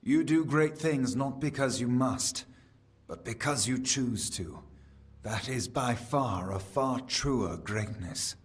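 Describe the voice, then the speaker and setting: A man's voice: mid-range voice, slow pace, natural voice. An actor playing a solemn, ancient fae king in a fantasy game, speaking in a formal, portentous manner. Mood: grave counsel. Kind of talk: scripted fantasy game acting.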